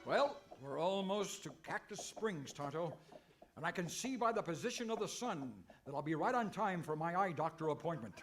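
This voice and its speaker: An actor playing a bumbling, earnest, mildly exasperated cowboy hero in a comic old-time radio western. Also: male voice, fairly high pitch, expressive, moderate pace, lightly acted. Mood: wry complaining. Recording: faintly noisy live stage recording.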